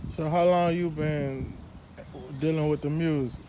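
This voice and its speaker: A man's voice: a little high, fairly even intonation, unhurried, dark tone, rough recording. A man interviewing a guest in casual, slangy street talk. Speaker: interviewer, host. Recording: faint background noise.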